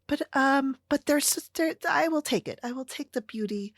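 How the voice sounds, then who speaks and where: A woman's voice: high-pitched, moderate pace, a bit bright, no echo, studio quality. An American woman hosting a solo podcast, chatting casually in a rambling way. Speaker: podcast host.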